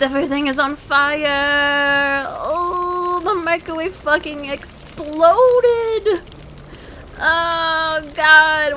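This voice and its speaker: A woman's voice: high-pitched, sharp tone, a little hiss or hum, exaggerated voice. A woman playing a doting werewolf girlfriend in a comedic ASMR roleplay, gushing in baby talk with pet names. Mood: panicked. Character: doting, sugary werewolf girlfriend home tired from work.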